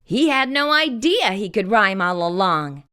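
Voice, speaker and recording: Lady reading a kids book aloud, slow n bright, super expressive host. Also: medium pitch, smooth. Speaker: storytime host and reader. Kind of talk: animated children's read-aloud narration.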